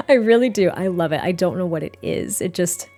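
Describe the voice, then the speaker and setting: A woman in her thirties with a hoarse, gritty, raspy voice, chatting casually and self-deprecatingly in a gaming voiceover. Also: on the low side, lively intonation, normal speed, mellow, faint music behind. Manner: rambling, self-deprecating, laughs often. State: hoarse from a weekend of cheering and singing. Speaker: Sims YouTuber.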